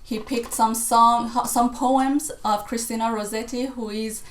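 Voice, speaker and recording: A young woman born in Iran speaks on a video call with a slightly high voice. She explains things earnestly and at a measured pace. The room around her gives a noticeable echo. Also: fairly even intonation. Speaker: choir director and guest. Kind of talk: conversational interview.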